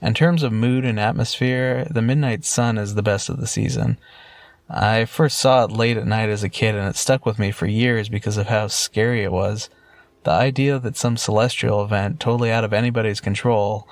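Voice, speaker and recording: A man's voice: fairly low pitch, fairly even intonation, moderate pace, no room sound. A man with an American accent giving prepared commentary on television episodes as a listener chiming in. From Los Angeles, USA.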